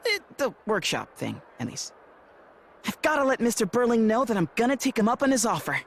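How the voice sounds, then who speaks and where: A man's voice: extremely high pitch, very animated, brisk, vocal fry, a bit bright, heavily acted. An actor playing an earnest teenage boy blacksmith and protective brother in a video game, sincere and a little hesitant. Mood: relieved, determined.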